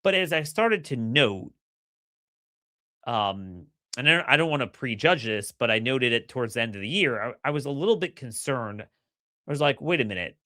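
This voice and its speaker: A middle-aged man hosting a solo political commentary show, slightly hoarse, energetic and opinionated, often repeating words as he starts a sentence. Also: mid-range voice, normal speed, no room sound, clean studio sound. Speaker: podcast host.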